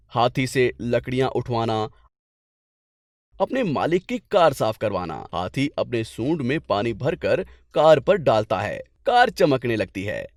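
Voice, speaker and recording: Animated male storyteller, close dry sound. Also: mid-range voice, no room sound. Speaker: narrator. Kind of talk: animated scripted folk-tale narration.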